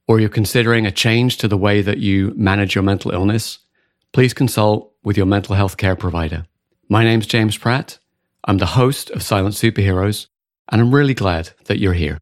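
A British man hosts a podcast in a fairly low voice, calm and deliberate. He moves from a read introduction into a relaxed interview. The recording is dry and close, with no room sound. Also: no echo. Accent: British English. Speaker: podcast host.

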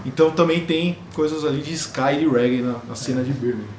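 A man's voice: mid-range voice, darkish tone. A man co-hosting a podcast in casual music chat, explaining things and tagging on "né?". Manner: fast, lecturing, adds "né?" often. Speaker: podcast co-host. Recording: echoey room with faint noise.

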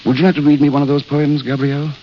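An actor playing a courteous, world-weary English wanderer, speaking politely and wryly in an old radio drama style. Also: male voice, on the high side, little pitch movement, fast, close to an everyday voice. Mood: gentle, curious. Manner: polite, wry, wistful. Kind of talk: 1930s-40s radio drama acting. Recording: muffled, hissy vintage radio recording.

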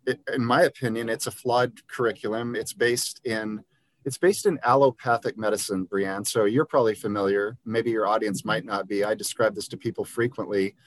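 A man's voice: lowish voice, steady tone, moderate pace, rough recording. A man, a podiatrist guest on an interview podcast, explaining his views in an earnest, conversational way with frequent ums and restarts. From Liberia.

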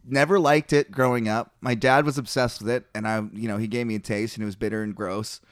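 Wired, fast-talking male podcast host. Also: mid-range voice, steady tone, no echo, clean studio sound. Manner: fast, wired, laughs often and swears casually. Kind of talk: casual chat.

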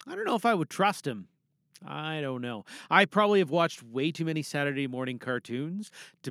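Bright, higher-pitched man hosting a podcast. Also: no room sound, studio quality. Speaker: host and voice performer. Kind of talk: informal podcast hosting with playful showmanship.

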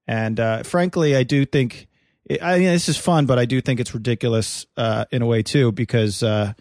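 A male comedian co-hosts a loose podcast from his apartment, talking in a rambling way full of fillers, restarts and crude asides. The sound is dry and close with no room around it, and his pitch sits in the middle for a man. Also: normal speed, darkish tone, dry recording. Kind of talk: casual banter podcast.

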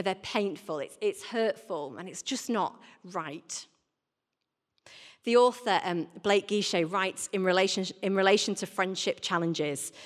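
A woman in her thirties gives an informal, conversational sermon as a church speaker, often putting rhetorical questions to her listeners. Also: medium pitch. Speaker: church warden giving a talk. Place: large indoor church. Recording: reverberant hall, live talk.

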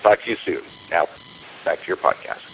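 A man's voice: low-pitched, fairly quick, faint music behind. A man who podcasts, leaving an upbeat, polished congratulatory message on a muffled voicemail. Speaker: podcaster calling in. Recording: muffled, noisy voicemail recording.

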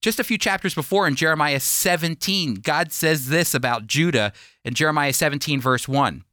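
A man's voice: on the high side, moderate pace, pushed and bright, no room sound, clean studio sound. A man preaching a sermon and reading scripture, stressing and repeating key phrases and posing rhetorical questions. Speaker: preacher and Bible teacher on a radio program.

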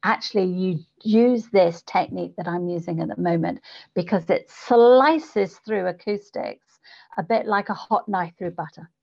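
A woman speaking as a voice coach in an interview, explaining technique in a deliberate, instructive way. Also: fairly low pitch, vocal fry, a little mellow, lo-fi sound. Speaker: guest voice coach for public speakers. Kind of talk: explanatory interview talk with demonstrations.

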